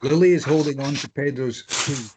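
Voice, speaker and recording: A man's voice: mid-range voice, moderate pace, noisy background. A man reading scripted stage directions as narrator at a table read.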